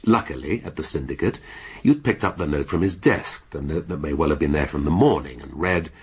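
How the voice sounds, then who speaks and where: An actor playing a shrewd, sardonic middle-aged British police inspector in a radio drama, speaking deliberately and with relish. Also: male voice, extremely low pitch, husky, full character voice. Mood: smug, deliberate. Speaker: police detective.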